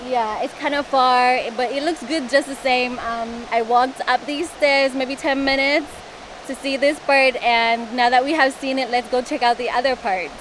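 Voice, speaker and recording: A fairly high-voiced woman in her thirties, out of breath as she talks to a handheld camera on a forest stairway. Her chatty travel-vlog patter keeps breaking into sighs and puffs. A clear rush of waterfall noise runs behind her outdoor recording. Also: fairly bright tone, rough recording. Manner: breathless, chatty, sighing between lines. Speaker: travel vlogger. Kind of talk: casual vlog talk to camera. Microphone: handheld camera at arm's length. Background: rushing waterfall noise.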